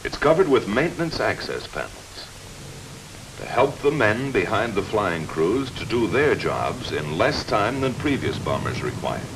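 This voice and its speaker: Hissy vintage film narrator, lively American man. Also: lowish voice, measured pace. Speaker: military training film narrator. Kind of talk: scripted documentary narration, 1950s training film. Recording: hissy, noisy old film soundtrack.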